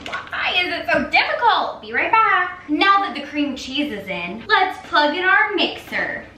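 A woman's voice: very high voice, big pitch swings, measured pace, pushed and bright. A young woman talking casually as she cooks in her home kitchen, chatting and teasing herself along the way. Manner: chatty, self-teasing, talks while cooking. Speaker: cooking vlogger. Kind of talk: casual cook-along chat. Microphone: camera on the counter, at some distance. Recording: echoey kitchen with faint room noise.

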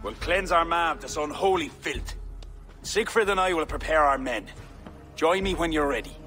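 A man's voice: fairly high pitch, animated, moderate pace, bright, forceful tone, faint music behind. An actor playing a pious warrior king in a video game, with a grave, formal delivery. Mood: commanding. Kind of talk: dramatic video game cutscene acting.

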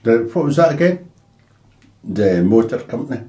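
A man's voice: medium pitch, very animated, moderate pace, warm tone. An older Scottish man interviewing a guest at a dining table at home, rambling and full of "eh" fillers. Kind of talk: casual interview chat. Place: dining room in a private house. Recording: roomy echo in a home room.

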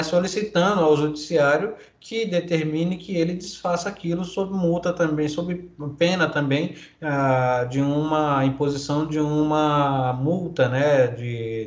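A man's voice: fairly high pitch, a little mellow. A man with a Brazilian Portuguese accent, a law professor giving an online lecture, reading legal articles aloud and explaining them with many fillers and tag questions. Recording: echoey, dull lo-fi call audio.

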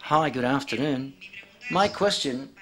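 A man asking formal, measured questions at a press conference. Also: medium pitch, animated, fairly bright tone.

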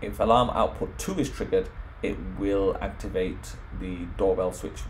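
A man's voice: low-pitched, fairly even intonation, normal speed, slight background noise, low-quality recording. A man presenting an informal home automation tutorial, explaining things step by step. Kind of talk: informal software screen walkthrough.

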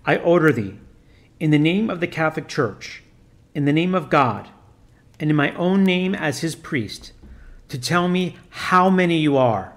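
A man's voice: a little high, expressive, a little slow. Man playing a stern commanding priest. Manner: loud, commanding, formal old-fashioned speech. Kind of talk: audiobook reading.

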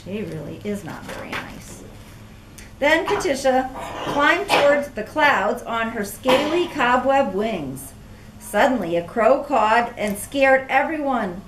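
An older woman reads a picture book aloud in a high, very animated voice, adding chatty asides. She is in an echoey room, a little way from the camera. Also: slow pace, distant mic. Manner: speaking through a face mask. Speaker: storybook reader. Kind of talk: expressive children's read-aloud with chatty asides. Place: small classroom-like room, indoors. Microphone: camera a few metres away. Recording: echoey room, faint background noise.

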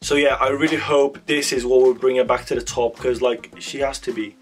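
A hoarse young man chatting excitedly in a small bedroom while reacting to a song, talking into a webcam with lots of "like" and quick giggles. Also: medium pitch. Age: young adult. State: hoarse, voice strained from screaming. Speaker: music reaction vlogger. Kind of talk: casual reaction chat. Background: song playing quietly.

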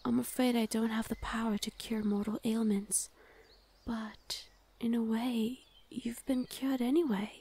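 A woman plays a gentle fae lady of the forest in an ASMR roleplay. Her voice sits fairly high and is very breathy, often slipping into a whisper. She speaks soothingly, like a protector caring for someone. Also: level tone, unhurried. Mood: tender, reassuring.

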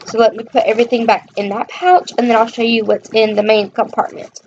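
A woman's voice: a little high, level tone, brisk. A young woman vlogging casually in her bedroom, chatty and rambling with frequent ums, showing items to a close webcam. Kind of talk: casual show-and-tell vlog. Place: bedroom, indoors. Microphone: webcam at close range. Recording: dull lo-fi webcam audio with faint hiss.

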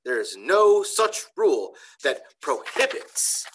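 A man's voice: on the high side, sing-song, slow, a bit bright, slight background noise, voice pushed up, heavily acted, poor audio. A man playing a decisive contest official in a children's storybook, making authoritative announcements.

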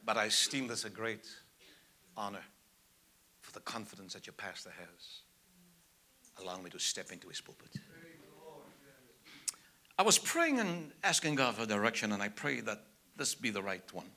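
An older man from South Africa, a native Afrikaans speaker with a South African accent, preaching from a church pulpit, moving from soft asides to loud, emphatic preaching. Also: fairly low pitch, expressive, normal speed, pauses often. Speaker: evangelist. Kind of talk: sermon. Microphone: pulpit mic, medium camera.

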